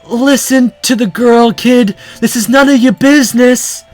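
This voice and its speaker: Man doing an exasperated gangster thug, pitched up. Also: a little slow, a little mellow, faint music behind. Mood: menacing. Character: threatening, exasperated male gangster thug with a gun.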